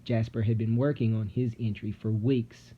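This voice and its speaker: A man reading a children's story aloud dramatically, with suspenseful, theatrical delivery and deliberate pauses. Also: lowish voice, fairly even intonation, breathy edge, phone-quality sound. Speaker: storybook narrator. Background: music.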